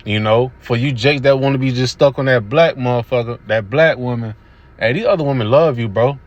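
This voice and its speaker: A man's voice: medium pitch, fairly quick, lo-fi sound. A man giving casual religious commentary over video clips, laid-back and repetitive, with many fillers. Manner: laid-back, repetitive with "you know what I'm saying".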